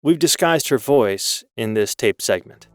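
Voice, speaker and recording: Male radio producer actor, businesslike and explanatory, animated pitch, dry studio sound with faint music. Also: unhurried, no room sound, lightly acted. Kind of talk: scripted radio reporting in audio drama.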